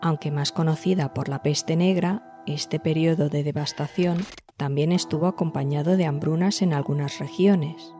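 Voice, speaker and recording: A woman hosting and narrating a scripted storytelling podcast, addressing listeners directly in measured phrasing. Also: low voice, fairly even intonation, warm tone. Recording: music behind the voice. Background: background music.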